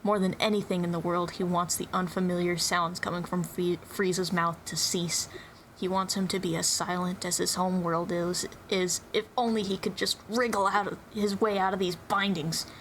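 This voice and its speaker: A woman's voice: on the low side, level tone, moderate pace, a bit bright, a little hiss or hum, poor audio. A woman reading scripted story narration in an informal dramatic reading. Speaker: reader in a group dramatic reading.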